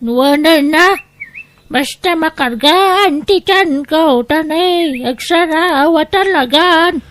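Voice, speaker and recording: A woman's voice: sits high, slow pace. A woman playing a hungry, pitiful elderly village woman in an audio drama, with a plaintive, pleading manner. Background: soft background music.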